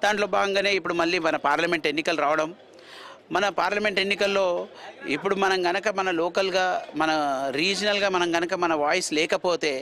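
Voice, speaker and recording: Bright, high-voiced male party politician. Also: fairly even intonation, fast talker. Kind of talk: political interview answers.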